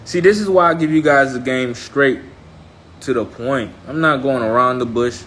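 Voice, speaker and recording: A man's voice: medium pitch, moderate pace, fairly bright tone, slight background noise. A man from Haiti speaking as a trading mentor on a live stream, blunt and emphatic, repeating points and checking in with listeners. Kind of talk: motivational live-stream talk.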